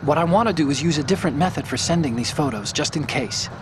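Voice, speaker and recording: An actor playing an eager male hacker who explains things quickly over a radio link. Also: on the high side, lively intonation, background noise, natural voice. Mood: explaining. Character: chatty male tech support hacker.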